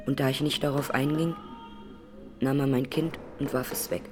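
An actress playing a grieving Armenian massacre survivor who testifies in a radio drama courtroom, plainly, solemnly and with measured words. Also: female voice, very deep voice, steady tone, over music. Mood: grave, sorrowful.

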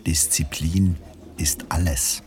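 An actor as the measured, dry narrator of a satirical radio play, reading scripted literary prose. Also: male voice, rumbling low, steady tone, darkish tone, faint music behind.

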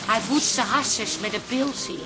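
An actress playing a brisk, sardonic pub landlady with a Netherlands Dutch accent and a mocking manner in a radio play. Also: fairly high pitch, animated, lightly acted. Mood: wry. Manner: wry, mocking, colloquial. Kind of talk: radio comedy-drama acting. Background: music.

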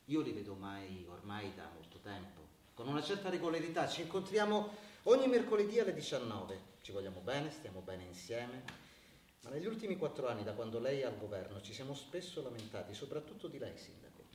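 A man's voice: medium pitch, very animated, moderate pace, darkish tone, natural voice. An actor playing a shrewd, evasive male president of an association, dry and non-committal, bargaining smugly in a naturalistic radio drama. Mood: calm, calculating. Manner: dry, non-committal, answering with pointed questions. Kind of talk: naturalistic Italian radio theatre acting. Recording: echoey room, distant mic, faint noise.

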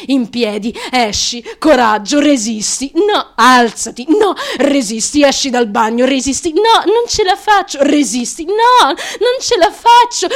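An actress playing a desperate, ravenous young woman full of self-loathing, in a feverish, obsessive theatrical monologue for radio. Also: high-pitched. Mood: strained, desperate. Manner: feverish, obsessive, incantatory repetition.

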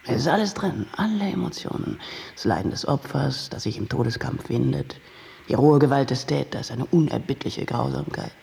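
An actor playing a pushy, grandiose and excitable TV producer in a German radio play. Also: male voice, normal speed, creaky. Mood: grandiose pitching. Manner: grandiose sales-pitch talk, emphatic. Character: slick sensationalist TV producer, pushy, easily panicked man.

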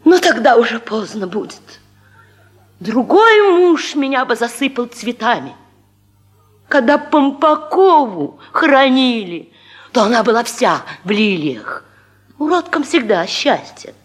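An actress playing a fretful, vain wife in a radio comedy sketch, pestering, pouty and sorry for herself. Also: female voice, on the high side, big pitch swings, a little slow, breathy edge, heavily acted. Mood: pouty, self-pitying. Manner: pestering, pouty, self-pitying. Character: fretful, vain wife needling husband with morbid questions.

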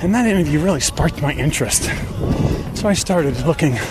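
A man's voice: a little high, animated, brisk. A middle-aged man hosting a podcast, talking in a conversational, personal way. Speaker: podcast host. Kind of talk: conversational podcast monologue and interviewing.

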